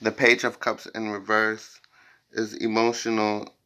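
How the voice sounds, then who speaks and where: A man's voice: fairly low pitch, monotone, measured pace, bright, forceful tone, clean tone. A man giving an informal tarot reading to viewers, speaking bluntly and repeating phrases for emphasis. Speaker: tarot reader, host.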